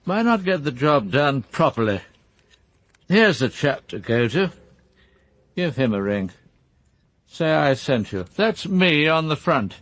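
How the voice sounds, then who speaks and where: An actor playing a brisk, blunt English baronet in a radio drama, with clipped, forthright speech. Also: male voice, a little high, big pitch swings, fairly bright tone, no room sound, exaggerated voice.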